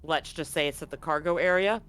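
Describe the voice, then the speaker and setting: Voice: mid-range voice, fairly quick, fairly bright tone. A game master speaking informally and explaining rules and situations in a casual tabletop role-playing session. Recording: clearly noisy background.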